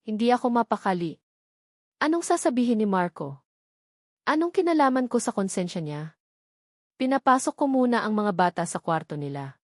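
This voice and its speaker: Female storyteller, medium pitch, scripted first-person romantic drama narration, dry recording. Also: very animated, normal speed, pauses often, no echo.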